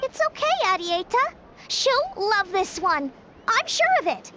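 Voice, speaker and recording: A woman's voice: very high-pitched, very animated, unhurried, lo-fi sound, noisy background, exaggerated voice. A woman voicing a small, chirpy, encouraging companion sprite in a video game. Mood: reassuring. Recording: game dialogue with music behind, dulled. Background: game music.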